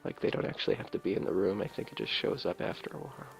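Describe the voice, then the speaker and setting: A man with a low raspy voice giving an ASMR gameplay tour as a video creator, talking softly in a hesitant, rambling way. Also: lively intonation, racing. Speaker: video creator showing his game builds. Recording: dull lo-fi mic, clear background music. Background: game music.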